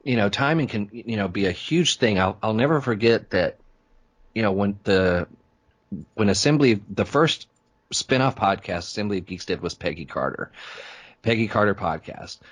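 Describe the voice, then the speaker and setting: A man's voice: lowish voice, lively intonation, normal speed. A man who hosts podcasts, chatting informally and telling anecdotes in a casual interview. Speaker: podcast host being interviewed.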